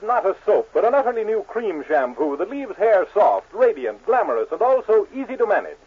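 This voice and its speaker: A man delivers scripted 1940s advertising copy as an announcer. His voice is high and animated, and the old radio sound is thin and tinny. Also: slightly rough. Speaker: commercial announcer.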